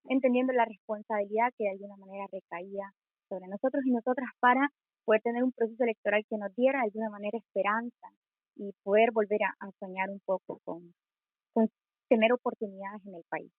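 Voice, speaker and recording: A young woman in her twenties from Honduras with a Honduran accent, a student activist answering interview questions in a measured, thoughtful way. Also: medium pitch, steady tone, dry recording. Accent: Honduran Spanish. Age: 20s. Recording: tinny phone-like call audio.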